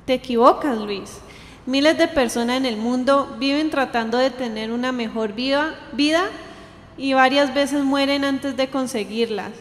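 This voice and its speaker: A young woman playing an earnest, anguished sister in an amateur radio drama, with a halting, plaintive delivery. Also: high voice, lightly acted. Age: young adult. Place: indoor green-screen studio. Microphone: handheld dynamic microphone shared close up. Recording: reverberant hall-like echo.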